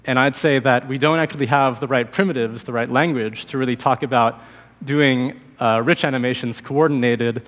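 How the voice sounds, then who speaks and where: A man in his thirties with a cold and a slightly hoarse voice, giving a relaxed technical talk on a conference stage with many fillers. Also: mid-range voice, moderate pace. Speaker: conference speaker. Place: large conference hall stage. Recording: reverberant hall.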